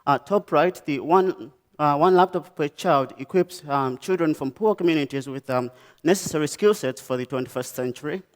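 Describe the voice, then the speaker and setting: A young man from Malawi with a Malawian accent, giving a conference talk about his design work, pausing often with "um" and "uh". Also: fairly high pitch. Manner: halting, many "um" and "uh" pauses and restarts. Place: lecture stage.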